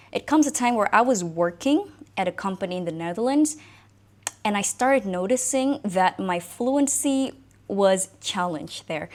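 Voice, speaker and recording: A woman in her thirties from Indonesia, whose first language is Indonesian, shares her own story to the camera as an English fluency coach. She sounds conversational and thoughtful, with plenty of casual fillers. Her voice sits at an ordinary, middle pitch. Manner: conversational, reflective, frequent "you know" and "like". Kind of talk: personal talk to camera.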